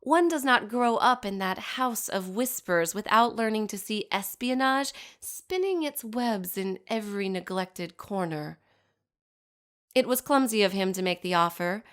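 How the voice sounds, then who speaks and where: A woman playing a poised, shrewd young bride from a scheming family in a scripted dramatic monologue, speaking formally with measured, pointed diction. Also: medium pitch, a bit bright, clean tone. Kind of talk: scripted dramatic monologue addressed to her mother.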